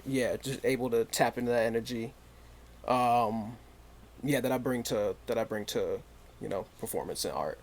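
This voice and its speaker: A man in his twenties from Maine, a rapper chatting as a podcast guest in a relaxed, easygoing way. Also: mid-range voice. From Maine, USA. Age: 20s. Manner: relaxed, easygoing, quick affirmations. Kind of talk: casual interview chat.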